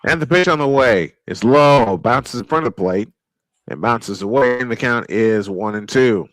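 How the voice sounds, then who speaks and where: A man calling a baseball game live, unhurried, filling the pauses between pitches. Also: lively intonation, a bit bright, no room sound, poor audio. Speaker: play-by-play baseball announcer. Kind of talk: live sports commentary.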